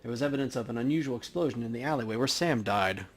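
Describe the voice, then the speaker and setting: A man's voice: medium pitch, quick pace, a little hiss or hum, pitched below the performer's own voice, lightly acted. Guy playing a game detective, terse, matter of fact, asking questions. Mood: businesslike. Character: terse, businesslike male detective questioning a witness. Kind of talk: acting out video game dialogue.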